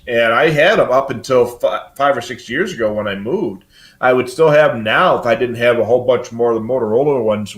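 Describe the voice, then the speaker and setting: Casting: older man, medium pitch, hosting an informal hobby livestream, talking in a meandering, practical way. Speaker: live show host. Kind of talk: casual live hobby talk.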